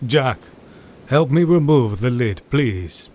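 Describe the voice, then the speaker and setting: An actor playing a grave, learned professor who hunts monsters, speaking formally and with command in a period audio drama. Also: male voice, medium pitch, lively intonation, slow pace, darkish tone. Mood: grave, knowing. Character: grave, learned male professor and vampire hunter.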